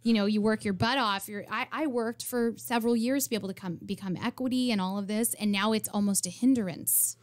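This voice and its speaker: Brief: woman in her 40s, stage actress and director, medium pitch, upbeat and talkative, radio guest. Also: a little fast, hardly any pauses. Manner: chatty and upbeat, many "um"s and restarts. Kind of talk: casual radio interview chat.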